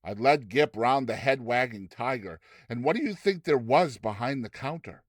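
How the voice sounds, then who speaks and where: Animated male storyteller, dry sound. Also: medium pitch, moderate pace, dry recording. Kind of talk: expressive dramatized story narration.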